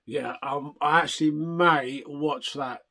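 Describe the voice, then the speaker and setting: An older man hosting a retro gaming vlog, chatting casually and rambling, hoarse from a chest infection. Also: a little high, fairly even intonation, slow, pushed and bright. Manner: rambling, self-interrupting, chatty. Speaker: retro gaming channel host.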